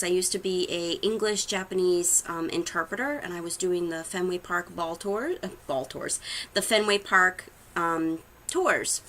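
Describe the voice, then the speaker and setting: A woman's voice: medium pitch, sharp tone. A woman in her thirties from Massachusetts with a Boston accent, chatting casually to a camera in a rambling way with many ums. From Massachusetts, USA. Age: 30s. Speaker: vlogger introducing her channel.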